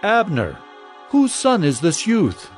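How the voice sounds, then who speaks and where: Man acting an imperious high-voiced king. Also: huge pitch swings, slow pace, faint music behind, exaggerated voice. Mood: curious, commanding. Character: commanding ancient king questioning his subjects. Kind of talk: dramatized scripture acting.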